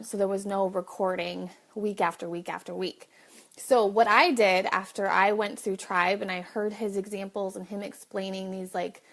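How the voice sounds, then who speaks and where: A woman's voice: medium pitch, gravelly, a bit bright. A woman hosting a casual live video, chatting directly to viewers, with a slight cough. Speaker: livestream host.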